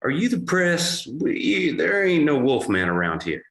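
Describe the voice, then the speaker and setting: Casting: male actor for guarded rustic hunter, radio play, slow but swinging intonation, folksy grammar. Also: fairly high pitch, big pitch swings, a bit bright, exaggerated voice. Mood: suspicious, curt. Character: surly, evasive backwoods Texan farmer and hunter. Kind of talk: audio drama acting. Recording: echoey room with faint noise.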